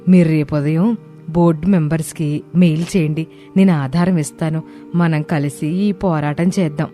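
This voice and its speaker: A woman's voice: low-pitched, fairly even intonation, normal speed, mellow, faint music behind, close to an everyday voice. Woman playing an earnest, loyal assistant. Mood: earnest reassurance. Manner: earnest, respectful. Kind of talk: acted moral-story dialogue.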